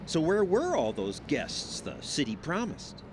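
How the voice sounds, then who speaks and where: A man's voice: on the high side, huge pitch swings, unhurried, noisy background. A man reading scripted news narration in a wry, emphatic voice-over. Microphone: studio voice-over.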